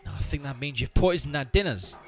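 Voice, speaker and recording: A man's voice: a little high, expressive, fairly quick, a bit bright, faint music behind. A man playing a dry, sardonic, slightly bossy straight man in an amateur comedy audio drama. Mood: brisk, suspicious.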